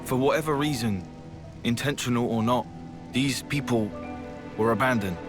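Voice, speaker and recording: An actor playing a blunt, earnest young fighter in a video game cutscene. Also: male voice, medium pitch, moderate pace, over music, close to an everyday voice. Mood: grave, frank.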